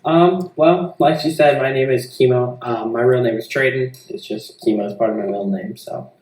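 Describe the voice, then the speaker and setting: A young American man giving informal, somewhat halting answers as a student being interviewed. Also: medium pitch, darkish tone. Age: young adult. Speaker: interviewee, student filmmaker and musician. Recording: echoey room, distant mic.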